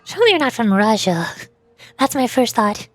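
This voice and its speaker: A woman's voice: a little high, sing-song, normal speed, dry recording. A woman streamer chatting casually with viewers, thinking aloud hesitantly, stammering over repeated words and putting herself down a little. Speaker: streamer, VTuber. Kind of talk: casual livestream chat with viewers. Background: background music.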